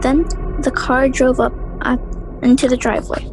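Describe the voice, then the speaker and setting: A woman's voice: high-pitched, flat delivery, moderate pace, music in the background. A woman delivering scripted, dramatic story narration in an audio play.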